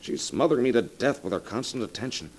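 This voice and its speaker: An actor playing a weary, guilt-ridden writer husband, earnest and melodramatic, in a 1940s radio drama. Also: male voice, mid-range voice, lively intonation, fast talker, darkish tone, husky. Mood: desperate, exasperated. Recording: vintage 1940s radio recording.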